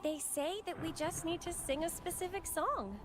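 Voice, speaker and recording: A woman's voice: extremely high pitch, normal speed, background noise. A woman voicing a sweet, earnest, devout young woman in a video game. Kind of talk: English video game voice acting.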